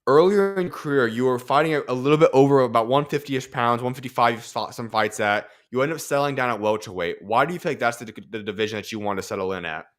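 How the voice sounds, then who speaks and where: A man's voice: mid-range voice, fast, few pauses. A young man hosting a boxing interview from a studio desk microphone, asking long, detailed questions. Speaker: host.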